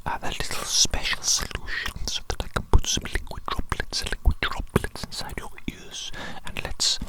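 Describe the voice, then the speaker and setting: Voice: normal speed, lightly acted. An ASMR performer voicing an eccentric evil doctor who dotes on a tiny creation, muttering and repeating phrases theatrically at a close microphone. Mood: fussy, soothing. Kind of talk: whispered ASMR medical roleplay.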